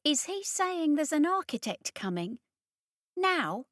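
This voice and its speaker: A woman voicing a tough engineer who pleads loudly and insistently. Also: high voice, big pitch swings, slow pace, no echo, studio quality, pitched above the performer's own voice, exaggerated voice. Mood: flat.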